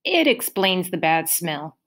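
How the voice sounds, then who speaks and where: A guarded, defiant teenage boy from a storybook, delivered in a low-pitched, fairly plain reading voice, as a woman reading aloud puts him on. He sounds wary and quick to push back. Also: sing-song, a bit bright, exaggerated voice. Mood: wry. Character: defensive teenage boy, new kid.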